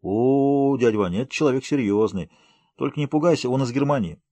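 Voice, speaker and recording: A man's voice: medium pitch, fairly quick, warm tone, slightly rough, no room sound, close to an everyday voice. A man voicing a cheerful, confident, wordy man who is a friendly guide, in an audiobook. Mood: reassuring. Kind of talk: audiobook character voice.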